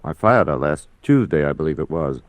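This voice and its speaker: A polite gentleman in his fifties in a vintage radio drama. His voice is low and animated in pitch, courteous, measured and guarded. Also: dry recording. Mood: guarded explanation. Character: polite, guarded, tall gray-haired man in early fifties. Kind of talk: mid-century radio crime drama acting.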